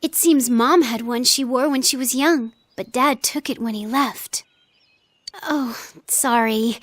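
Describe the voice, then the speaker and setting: Anime game role: gentle, reserved teen girl, polite and earnest, very high voice, dry close recording. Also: dry recording. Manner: polite, thoughtful. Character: earnest, reserved teenage girl, gentle, considerate, quietly stubborn. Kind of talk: anime video game voice acting.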